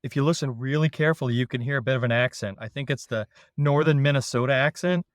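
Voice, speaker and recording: Relaxed Canadian man, dry close sound. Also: mid-range voice, quick pace, no room sound. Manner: self-deprecating, jokey, relaxed. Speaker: dairy consultant. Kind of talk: casual podcast chat.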